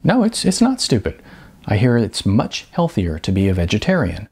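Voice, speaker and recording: A male reader gives voice to a waitress in an audiobook, polite and composed. She speaks calmly and wryly, with lively pitch and a husky grain. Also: normal speed, heavily acted. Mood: friendly, curious. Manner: calm, polite. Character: young waitress, polite, wry, composed woman.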